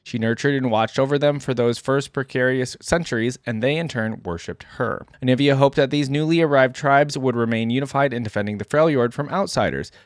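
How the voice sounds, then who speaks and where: A man's voice: medium pitch, brisk, few pauses, no room sound, clean studio sound. A man hosting a reaction channel, mixing casual, enthusiastic fan commentary with reading lore aloud. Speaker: YouTube reaction host.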